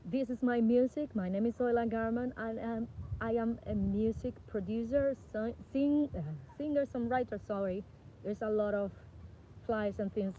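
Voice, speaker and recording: A woman's voice: a little high, moderate pace. Woman from the Dominican Republic, singer talking to camera, rambling, dull lo-fi sound. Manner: chatty, warm thanks, rambling with self-corrections. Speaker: singer-songwriter and music producer. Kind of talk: casual talk to camera. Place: outdoors with flies around. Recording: dull lo-fi sound with faint background noise.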